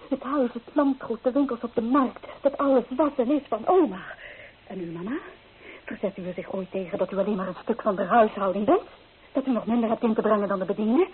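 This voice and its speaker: Restless young bride, tinny old recording. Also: female voice, fairly high pitch, a little fast, husky. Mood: defiant, agitated. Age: young adult. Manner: emotional, poetic, impassioned. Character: restless, romantic young bride-to-be, anguished and defiant. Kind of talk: old Dutch radio drama acting. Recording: thin, band-limited old radio recording, faint noise.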